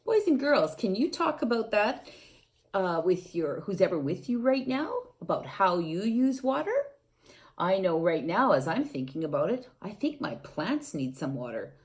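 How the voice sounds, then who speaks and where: A woman reading a picture book aloud to young children, gently asking them questions and adding playful sound effects. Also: medium pitch, sing-song, moderate pace. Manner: gentle, coaxing, asks the young listeners questions.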